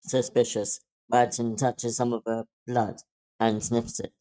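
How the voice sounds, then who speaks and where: A man's voice: medium pitch, flat delivery, unhurried, mellow. A man reading stage directions aloud as narrator at an amateur script table read.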